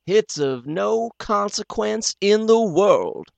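A man voicing a cool, ceremonious doctor who mocks his accusers with slow, drawn-out politeness. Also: sits high, expressive, vocal fry, no echo, highs cut off, pitched above the performer's own voice, exaggerated voice. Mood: mocking.

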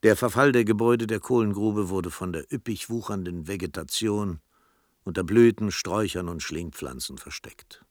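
A man's voice: on the low side, no room sound, clean studio sound. A man narrating a radio drama in a scripted literary style.

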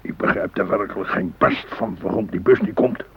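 A weary, pedantic grandfather grumbles and explains in a radio play. His elderly voice is deep and full of gravel. The old recording is muffled. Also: moderate pace, slight background noise. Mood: tense, grumbling. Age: older. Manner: explaining patiently, gruffly correcting. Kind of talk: radio drama dialogue.

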